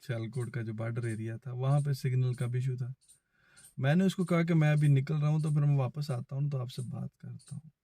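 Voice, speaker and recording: A man's voice: mid-range voice, fairly even intonation, a little fast, dark tone, no room sound. A man with a Pakistani accent telling a personal story in a casual, conversational way, quoting back-and-forth dialogue as he goes. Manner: conversational, acts out both sides of remembered phone conversations. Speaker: vlogger.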